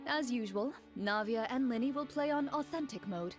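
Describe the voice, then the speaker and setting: A woman playing a composed young female duelist who runs a tabletop game, explaining rules and narrating in a measured, calm way. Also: on the high side, soft background music, close to an everyday voice. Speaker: game master. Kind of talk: game dub, calm storytelling.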